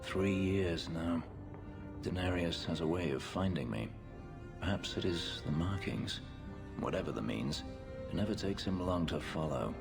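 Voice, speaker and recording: Very deep, brooding fugitive warrior. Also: male voice, animated, heavily acted. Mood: brooding, guarded. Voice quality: very deep, gravelly. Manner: terse, measured, formal phrasing. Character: brooding male warrior, a fugitive on the run, guarded. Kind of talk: dramatic video game dialogue. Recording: music underneath. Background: background music.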